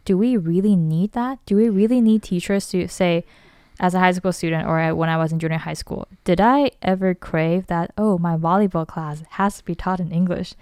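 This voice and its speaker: Woman, podcast host, lowish voice, smooth and clear, dry close sound, rambling, restarts a lot. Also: normal speed, a little mellow, dry recording. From Taiwan. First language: Mandarin Chinese. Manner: thoughtful, rambling, many restarts and self-corrections. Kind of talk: casual podcast discussion.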